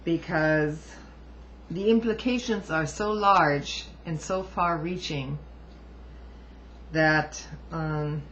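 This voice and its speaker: A woman reading aloud and adding informal political commentary in a deliberate, halting way with emphatic asides. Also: low-pitched, fairly bright tone. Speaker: amateur book reviewer and commentator. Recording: dull lo-fi home recording, faint noise.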